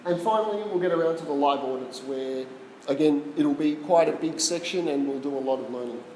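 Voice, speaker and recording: A man's voice: fairly high pitch, brisk, darkish tone, a little hiss or hum. A man with an Australian accent giving a casual tech talk to a small live audience, chatty and quizzing the room. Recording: roomy echo with long reverb.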